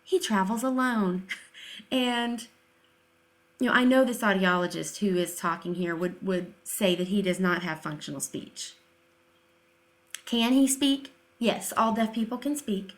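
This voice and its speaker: A woman's voice: mid-range voice, measured pace. Female commentator, mid-range voice. Emphatic and informal, with pointed sarcasm and rhetorical questions. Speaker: video commentator, deaf-education advocate.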